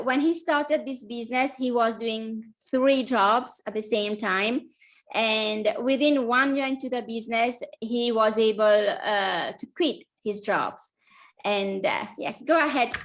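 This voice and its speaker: Woman host on a video call, fairly high voice, halting off-the-cuff intro. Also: fairly bright tone. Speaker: host of online business meeting. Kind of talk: informal spoken introduction.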